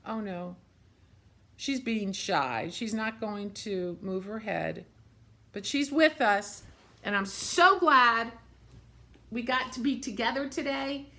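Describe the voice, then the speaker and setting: A woman's voice: on the high side, expressive, slow pace, bright, forceful tone. A woman reading a picture book aloud to children, expressively, with simple explanatory asides about the pictures.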